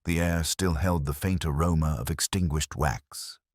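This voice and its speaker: A man playing a weary, melancholy police detective who narrates a mystery audio drama in measured, brooding, literary phrases. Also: rumbling low, steady tone, no echo, studio quality.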